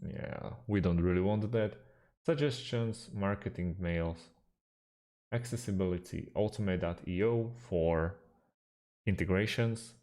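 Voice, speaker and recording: A man whose first language is Slovak, calmly walking through a software tutorial with frequent fillers. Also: low voice. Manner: unhurried, hesitant with fillers, slightly non-native phrasing. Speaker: tutorial host. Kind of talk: screen-recorded software tutorial.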